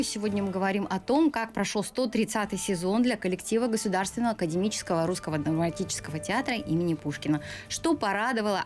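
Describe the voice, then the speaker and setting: Brief: middle-aged woman host on a studio mic, formal announcer delivery, faint music under her. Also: mid-range voice. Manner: formal, scripted announcer phrasing. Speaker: program host. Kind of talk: live radio and TV talk show hosting. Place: small radio studio. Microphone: studio boom mic and headphones.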